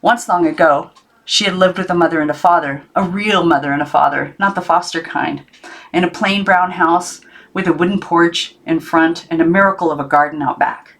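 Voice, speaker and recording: A woman reading a children's novel aloud as an audiobook narrator, in an expressive storybook style. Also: lowish voice, moderate pace. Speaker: audiobook reader.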